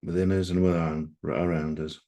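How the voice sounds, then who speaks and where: A man leading a guided meditation as a group guide, speaking gently and unhurriedly with long pauses. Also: low-pitched, flat delivery.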